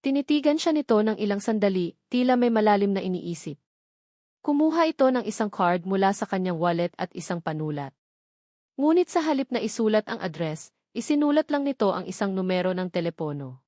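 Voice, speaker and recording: A woman's voice: mid-range voice, big pitch swings, brisk, mellow, no room sound, clean studio sound. A woman narrator reading a scripted romantic drama in storytelling style.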